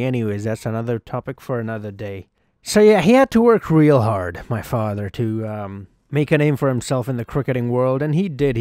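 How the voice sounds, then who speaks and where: A man playing an excitable, earnest young schoolboy cricketer who tells his story conversationally, with emphatic pauses, in a dramatised game story. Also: medium pitch, dry recording, clean studio sound, lightly acted. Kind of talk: first-person dramatised narration over game cutscenes.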